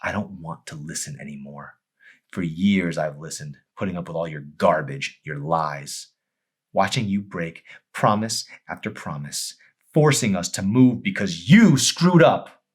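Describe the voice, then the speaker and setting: A man's voice: deep voice, expressive, a little slow, slightly rough, heavily acted. A man voicing a bitter, fed-up wife in an audiobook, cutting, sarcastic and emphatic. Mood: fed up, accusing. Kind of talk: dramatic audiobook character acting.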